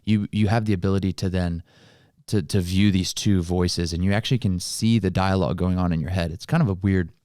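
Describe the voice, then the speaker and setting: A man with a low, deep voice speaks as a podcast co-host. He explains motivational ideas in casual, colloquial language, and the recording is dry and close. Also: steady tone, fairly quick, warm tone, no room sound.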